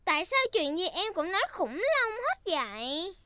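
A woman's voice: very high voice, big pitch swings, moderate pace, pushed and bright, no echo, exaggerated voice. A woman dubbing a bossy, teasing little girl piglet in a cartoon, giggly and sure of herself. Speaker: cartoon character. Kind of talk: Vietnamese cartoon dub. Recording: clean studio dub over music.

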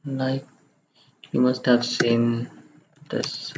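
Muffled, flat-voiced man giving a software tutorial. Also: mid-range voice, drawn-out words, creaky. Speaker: tutorial presenter. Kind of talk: software screencast tutorial, step-by-step narration. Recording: muffled lo-fi microphone, faint background noise.

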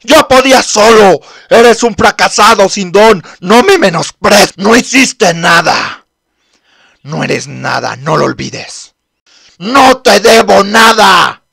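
A man voicing an angry, arrogant teenage boy rival in an anime fan dub, shouting with proud contempt. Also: sits high, lively intonation, slow pace, vocal fry, husky, no room sound, exaggerated voice. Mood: furious, scornful.

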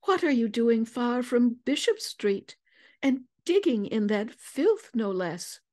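A woman's voice: fairly high pitch, sing-song, slow, a little mellow, voice pushed up. A woman voicing a confident, clever young woman detective in an audiobook story, articulate, exclamatory and commanding. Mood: surprised, stern.

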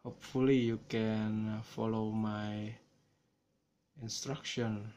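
A man from Indonesia with an Indonesian accent, talking through a software tutorial in a slow, hesitant way with many fillers, recorded on a smartphone. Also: lowish voice, flat delivery. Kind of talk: screen-recorded coding tutorial. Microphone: smartphone camera filming screen.

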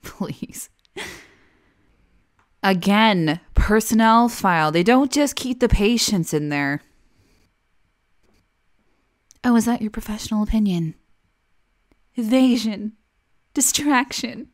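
A woman's voice: medium pitch, expressive, takes it slowly, pauses often. A woman playing a remorseless, sarcastic criminally insane asylum patient in a dramatic audio roleplay, theatrical and taunting. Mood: bored, needling. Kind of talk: ASMR-style dramatic monologue roleplay.

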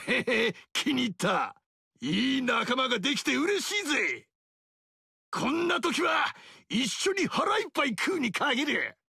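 A gravelly, blustering barbarian king. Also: very high voice, very animated, a little slow, sharp tone, no room sound, clean studio sound, exaggerated voice. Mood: boastful. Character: boisterous burly male barbarian king, proud. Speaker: warlord character. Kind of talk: video game character dialogue.

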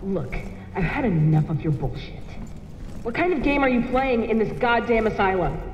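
A woman's voice: fairly high pitch, expressive, moderate pace, muffled recording, natural voice. An actress playing a defiant, foul-mouthed woman agent in a horror video game, blunt and confrontational. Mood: angry, demanding. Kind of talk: video game cutscene acting. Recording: long echoing reverb, noisy game ambience.

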